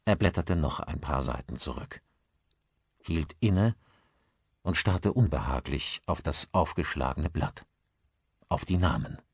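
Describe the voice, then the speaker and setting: A man narrating a thriller audiobook in a measured, articulate storytelling manner. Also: a bass voice, expressive, husky, no room sound, studio quality.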